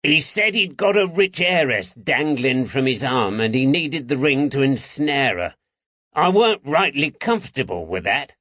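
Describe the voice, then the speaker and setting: A man's voice: medium pitch, lively intonation, unhurried, fairly bright tone, dry recording, muffled recording, voice pushed up. A man voicing a snivelling, indignant Cockney moneylender in an audiobook, whining and pleading defensively. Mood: defensive, wheedling. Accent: London Cockney. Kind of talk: audiobook character acting.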